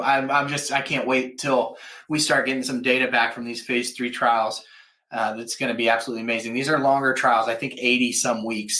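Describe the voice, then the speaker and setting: A man's voice: mid-range voice, sharp tone. A man hosting a live stream, giving enthusiastic, conversational news commentary and explanations in a casual style. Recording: echoey, roomy sound.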